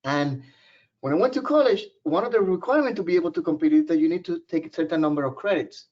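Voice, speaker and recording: Man, Puerto Rican Spanish accent, native Spanish speaker, joking webinar presenter, somewhat high, dull lo-fi audio. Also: animated, quick pace, a little mellow. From Puerto Rico. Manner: informal, joking, personal storytelling.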